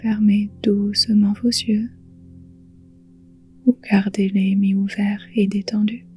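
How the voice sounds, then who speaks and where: A woman guiding a relaxation meditation in a soothing, hushed, breathy voice, leaving long pauses between phrases. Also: medium pitch, level tone, soft background music. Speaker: yoga nidra guide.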